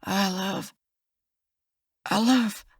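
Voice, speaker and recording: A woman voicing a wounded, dying brother with a weak, raspy, breathy voice and faltering, labored speech in an audiobook. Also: mid-range voice, extremely slow, fairly bright tone, dry recording, studio quality, heavily acted. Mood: weak, loving. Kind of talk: dramatic audiobook character acting.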